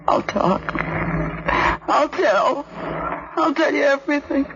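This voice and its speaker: A killer's frightened, bitter girlfriend in 1940s radio melodrama. Her very high voice moves slowly and dramatically, on a muffled recording with music. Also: lively intonation, heavy background noise. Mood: subdued, giving in.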